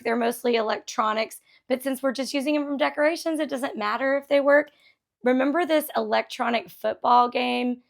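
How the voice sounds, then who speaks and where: Chatty high-voiced woman, slightly scratchy. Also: gravelly, fairly bright tone. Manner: chatty and enthusiastic, laughs often. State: slightly scratchy voice after a concert. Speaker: vlog host. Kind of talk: casual thrift haul chat.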